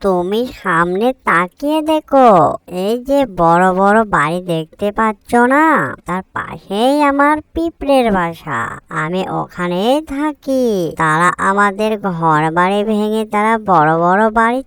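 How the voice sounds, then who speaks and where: A woman's voice: mid-range voice, animated, a little slow, fairly bright tone, clear, resonant tone, no room sound. A woman voicing a poor, hungry cartoon ant, earnest and plaintive in the way it talks. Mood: sad, worried. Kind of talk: cartoon dialogue.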